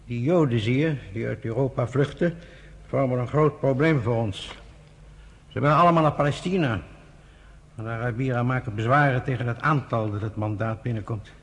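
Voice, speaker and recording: An actor in a radio play, playing a pragmatic, avuncular senior British official with a husky, urbane, confiding manner. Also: male voice, mid-range voice, a little fast. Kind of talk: Dutch radio play acting.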